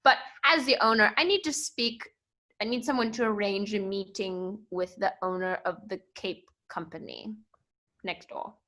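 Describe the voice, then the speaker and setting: A woman's voice: medium pitch, moderate pace, sharp tone, close to an everyday voice. A woman playing an exasperated, bossy female shop owner, emphatic and imperious, in an improvised comedy sketch.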